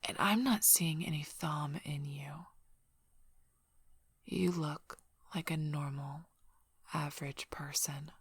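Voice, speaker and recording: A woman playing a protective, threatening female werewolf pack leader in an ASMR roleplay, speaking in a suspicious, menacing, breathy near-whisper. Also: low voice, steady tone, slow pace, pauses often, exaggerated voice. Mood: accusing, skeptical.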